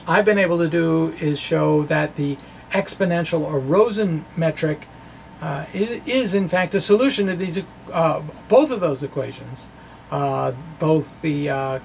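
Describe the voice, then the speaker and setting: A middle-aged American man giving an informal physics lecture to camera in a small room, explaining haltingly with trailing asides into a microphone on a stand. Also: fairly high pitch, vocal fry, a little hiss or hum, poor audio. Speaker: physics video blogger. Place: small indoor room with bookshelves. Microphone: microphone on a stand close in front.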